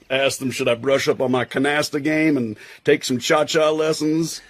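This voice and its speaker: An actor playing a jaunty, irreverent American intelligence agent in a radio drama, husky and slangy, with an American accent. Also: male voice, mid-range voice, steady tone, moderate pace, no room sound, lightly acted. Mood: jaunty, teasing. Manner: slangy, wisecracking.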